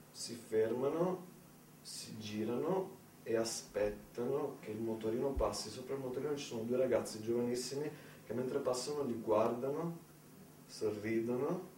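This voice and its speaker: A man delivering a conversational theatrical monologue in speech, with dramatic pauses and asides to the listener. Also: medium pitch. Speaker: solo stage storyteller. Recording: roomy, distant mic, faint noise.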